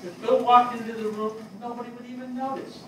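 An older man playing a grieving, plain-spoken fire captain in a staged play reading, halting and weary. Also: very high voice, level tone. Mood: troubled, reluctant. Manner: halting, hesitant delivery. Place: small theatre stage before a seated audience. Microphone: distant camera microphone. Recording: very echoey hall, far microphone, noisy.

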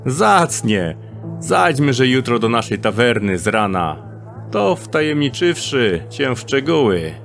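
A man's voice: mid-range voice, big pitch swings, unhurried, vocal fry, faint music behind. An actor playing a sly male thief in an audio drama, speaking in stilted archaic phrasing and coaxing his friend into a scheme. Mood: scheming.

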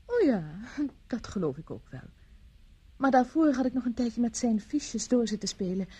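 A woman's voice: a little high, very animated, moderate pace, warm tone, slightly rough, heavily acted. An actress from the Netherlands playing a charming, teasing young society woman in a radio drama. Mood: playful. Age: young adult. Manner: teasing, polite, airy.

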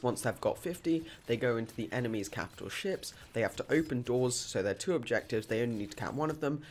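Upbeat gamer man with a sore throat. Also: medium pitch. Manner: quick, explanatory, upbeat. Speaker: gaming YouTuber. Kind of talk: game guide voice-over.

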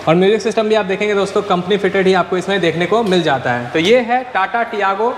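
Fast-talking high-voiced man, outdoor noise. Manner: enthusiastic, addresses viewers as friends and brothers. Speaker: vlogger host. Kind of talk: car showroom walkthrough vlog. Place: outdoor used-car lot.

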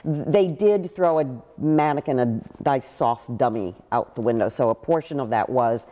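An older actress telling stories in a seated interview, with a deep, big voice and lively gestures. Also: female voice, normal speed, in a large hall. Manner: expressive, gestures a lot while talking. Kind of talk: animated interview storytelling. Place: living room with a pool table, indoors.

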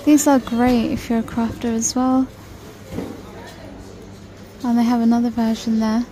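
Woman, casual shop vlog voice, slightly high, flat delivery, mellow tone; faint store hum behind. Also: measured pace. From United Kingdom. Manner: chatty, listing prices while browsing. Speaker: shopping vlogger. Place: large store aisle, indoors. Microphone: handheld phone camera.

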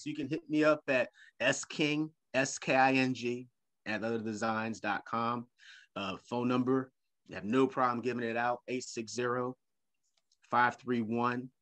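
A middle-aged man talking as a guest on a video call, animated and playful, often repeating his words. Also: medium pitch, measured pace. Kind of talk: casual video-call interview. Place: home room with leather couch.

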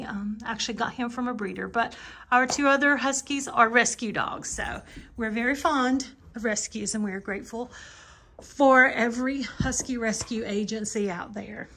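Chatty woman vlogger with a higher-pitched voice. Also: fairly bright tone. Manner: rambling, self-correcting, conversational. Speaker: home and cleaning vlogger. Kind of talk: casual chatty vlog. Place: rooms inside a house, bedroom and bathroom.

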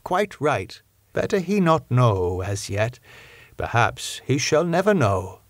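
A man voicing a grave, kindly old doctor in an audiobook, speaking slowly in quaint broken grammar. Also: mid-range voice, sing-song, no echo. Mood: grave, counselling. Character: old Dutch doctor, grave, kindly, teacherly, parable-loving.

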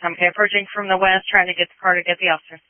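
A man's voice: sits high, little pitch movement, racing, clean tone, no room sound. A man working as a police dispatcher, tersely relaying reports back over the radio. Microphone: radio transmission. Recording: tinny band-limited police radio.

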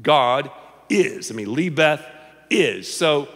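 Older male pastor, lively sermon voice. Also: slow pace. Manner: animated, playful, drops to a low confiding tone. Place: large church stage before an audience. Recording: long reverb of a big hall.

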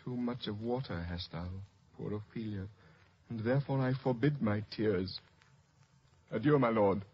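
A man's voice: fairly low pitch, moderate pace, husky. An actor playing a hot-headed, grieving young nobleman in 1950s radio Shakespeare. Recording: dull old radio recording.

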